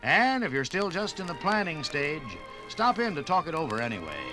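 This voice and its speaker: A man's voice: a little high, big pitch swings, moderate pace, rough recording. An American man reading a 1950s radio sponsor message in a folksy, reassuring sales style. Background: music.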